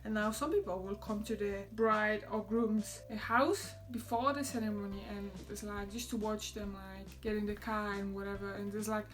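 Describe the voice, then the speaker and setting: A young woman from Poland with a Polish accent, chatting to camera in a relaxed vlog and laughing often. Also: normal speed, few pauses. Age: young adult. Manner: chatty, laughs often, quotes others in a higher voice. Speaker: vlogger. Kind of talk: casual chat to camera.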